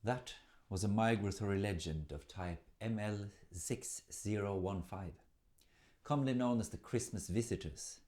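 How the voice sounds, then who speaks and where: A man narrating a folk tale as a storyteller, in a theatrical, measured manner. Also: on the low side, warm tone.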